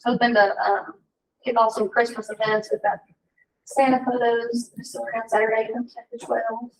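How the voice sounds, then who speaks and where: A peppy woman with a fairly high voice runs through upcoming events with enthusiasm. She is heard from a distance in a meeting room. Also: level tone, moderate pace. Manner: upbeat and peppy. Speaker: distillery representative. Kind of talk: informal update.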